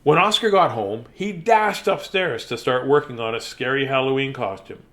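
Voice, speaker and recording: A man reading a children's bedtime story theatrically, with dramatic pauses and playful asides. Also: mid-range voice, a bit bright.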